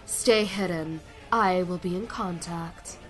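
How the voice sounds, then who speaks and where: A woman's voice: fairly low pitch, takes it slowly. Actress as icy female military commander, controlled and authoritative, sci-fi drama, prominent music underneath. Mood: commanding. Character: cold, commanding female military commander, manipulative sister figure. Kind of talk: dramatic sci-fi audio acting. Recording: loud music bed over the voice.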